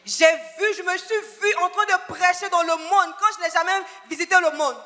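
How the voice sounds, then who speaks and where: A man with a very high, sharply bright voice interprets a preacher phrase by phrase, stumbling and correcting himself as he goes. The sound carries a long hall echo, with music underneath. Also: steady tone, normal speed. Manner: echoes each line, stumbles and self-corrects, joins the shouting. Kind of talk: live sermon interpretation. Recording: echoey church hall with music.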